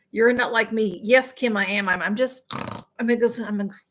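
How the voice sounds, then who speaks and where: A woman's voice: a little high, a bit bright. Tired, chatty middle-aged woman. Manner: rambling, with many fillers and restarts, greeting viewers by name. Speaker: livestream host. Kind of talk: casual chat with live viewers.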